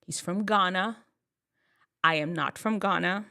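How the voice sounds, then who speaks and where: A woman from Jamaica chatting casually to camera, telling stories and laughing at herself, with big upward swoops when she gets excited. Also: lowish voice, a little slow, smooth. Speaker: vlogger.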